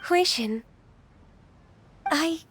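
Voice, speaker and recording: A woman voicing a gentle, ageless, dutiful secretary in a video game, soft and youthful, speaking earnestly and politely. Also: very high-pitched, animated, extremely slow, a little hiss or hum, heavily acted. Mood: moved, earnest. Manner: earnest, polite, careful phrasing. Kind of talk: video game character acting. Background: clear background music.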